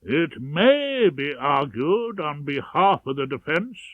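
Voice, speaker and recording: A man's voice: on the high side, sing-song, slow, no echo, exaggerated voice. Man acting a pompous, beefy prosecuting barrister. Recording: narrow, radio-like audiobook sound.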